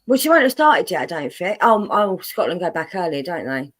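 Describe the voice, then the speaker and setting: A woman's voice: fairly low pitch, big pitch swings, a little fast. An English woman hosting a live stream, reading out viewer messages in a rambling, chatty way with lots of fillers and frequent laughs. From England, born in London, raised in Buckinghamshire.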